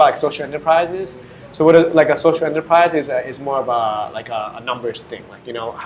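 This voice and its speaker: Fast-talking New man, rambling. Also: medium pitch, a little hiss or hum. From United States. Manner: rambling, heavy on "like" and "you know". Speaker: guest, business owner. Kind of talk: casual interview talk.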